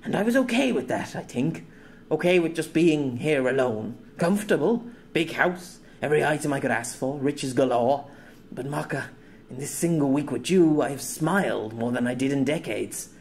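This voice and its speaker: A man's voice: mid-range voice, expressive, normal speed, darkish tone, natural voice. A man playing a lonely man begging through tears. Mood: pleading. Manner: desperate, stammering. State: crying. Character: lonely reclusive man, pleading and tearful.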